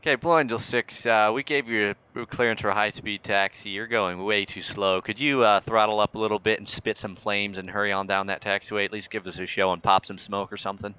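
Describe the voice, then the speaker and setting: Bossy low-voiced man, forceful and muffled. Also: fairly even intonation, fairly quick, few pauses, smooth. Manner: bossy, sarcastic, mock-authoritative. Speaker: YouTuber playing air traffic controller. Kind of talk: improvised air traffic control role-play in a flight simulator game. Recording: muffled voice chat with faint noise.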